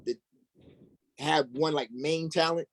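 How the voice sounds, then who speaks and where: A man speaking as a guest in a casual interview, halting a little as he thinks aloud. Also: a little high, fairly even intonation, fairly bright tone, dry recording. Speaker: interview guest, stage actor.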